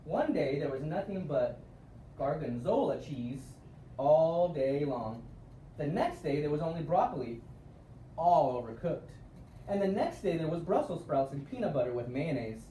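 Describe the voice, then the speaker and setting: A man reading a children's storybook aloud in an expressive way with emphatic word stress, recorded at a distance in a room. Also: a little high, moderate pace. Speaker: storybook reader. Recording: roomy, distant mic with faint noise.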